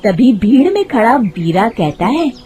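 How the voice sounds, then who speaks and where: A woman's voice: fairly high pitch, sing-song, measured pace, mellow, faint music behind. A woman narrating a scripted moral folk tale as a storyteller.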